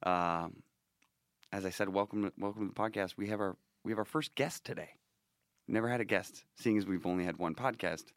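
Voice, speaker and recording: Deep-voiced man with a nasal cold. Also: a little fast, no echo, studio quality. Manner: rambling, self-interrupting, joking. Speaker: podcast host. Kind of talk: casual chat.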